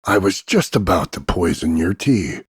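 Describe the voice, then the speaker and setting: A man voicing a cocky, gruff macho action hero, delivering scripted insults in a gravelly, husky, mocking deadpan drawl. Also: low-pitched, normal speed, no room sound, studio quality, exaggerated voice. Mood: mocking, smug. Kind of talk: scripted voice-over demo of one-line insults.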